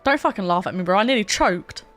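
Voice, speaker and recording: A woman's voice: mid-range voice, expressive, quick pace, fairly bright tone. A woman livestreamer chatting casually while gaming, with a sweary, joking and rambling manner. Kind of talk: casual game stream chat. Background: game music.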